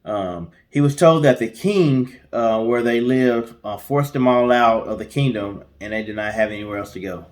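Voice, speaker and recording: A man's voice: mid-range voice, moderate pace, hardly any pauses. A man co-hosting a story show, telling a tale casually with chatty, colloquial, joking asides. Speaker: co-host of a story guessing show.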